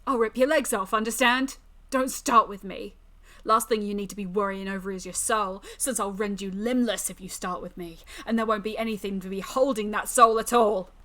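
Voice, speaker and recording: A woman's voice: mid-range voice, fairly even intonation, normal speed, sharp tone, natural voice. Woman voicing a menacing, teasing fiend storyteller. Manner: rough British slang, threatening and mocking.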